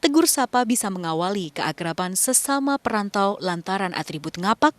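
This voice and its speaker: A woman reporter giving scripted narration for a local news feature in a measured, even reading. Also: mid-range voice, no room sound.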